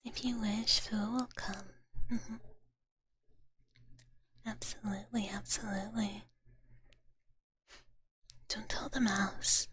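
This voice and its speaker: Woman whispering softly in breathy ASMR. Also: on the high side. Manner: playful, cutesy big-sister persona speaking of herself in third person. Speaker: streamer. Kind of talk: live whispered ASMR stream, casual chat with viewers. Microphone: close ASMR microphone.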